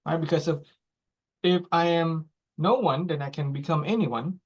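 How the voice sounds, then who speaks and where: A group facilitator speaking slowly and deliberately, posing reflective questions with pauses between them. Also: mid-range voice. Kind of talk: guided reflective talk. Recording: dull lo-fi recording.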